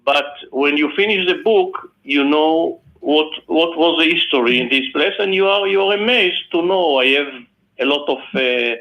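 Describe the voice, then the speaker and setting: A man in his seventies with an Israeli accent, an author speaking haltingly as a guest on a phone interview, with many fillers and restarts. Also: on the high side. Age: 70s. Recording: phone line.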